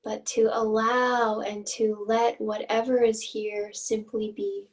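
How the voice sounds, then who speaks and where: Female meditation guide, higher-pitched and very unhurried, gently inviting, heard a little way off the mic. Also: flat delivery. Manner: gentle invitational phrasing with long pauses. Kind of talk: guided meditation, slow and instructional. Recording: voice distant from the mic.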